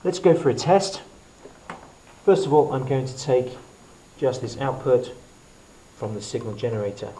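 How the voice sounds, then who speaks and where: A man presenting a hands-on science demonstration as a teacher, explaining to camera in short, deliberate phrases. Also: mid-range voice, animated, warm tone. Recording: clearly noisy recording with clicks.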